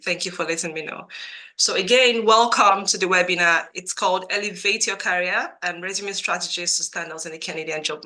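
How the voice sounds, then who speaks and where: A woman's voice: on the low side, pushed and bright. A woman from Nigeria hosting a live career webinar over a video call, earnest and repetitive for emphasis, her voice a little cracked from a cold. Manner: earnest, repeats herself for emphasis. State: has a cold, voice a bit cracked. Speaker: webinar host and career coach. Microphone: computer mic on a video call.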